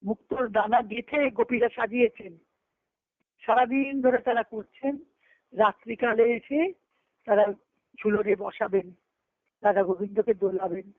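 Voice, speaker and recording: A woman's voice: mid-range voice, fairly even intonation, normal speed, long pauses, no echo. A woman gives an extempore devotional storytelling discourse on a conference call, explaining and rephrasing as she goes. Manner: explanatory, repeating and rephrasing phrases. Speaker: spiritual discourse speaker. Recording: dull lo-fi conference-call audio.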